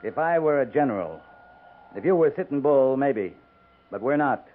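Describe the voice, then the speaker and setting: A muffled 1950s radio western recording carries a cavalry captain, acted by a man. He is steady and blunt, giving his words in a measured, commanding way. The voice sits at an ordinary male pitch. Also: close to an everyday voice. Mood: firm, frank. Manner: measured, blunt, plain-spoken.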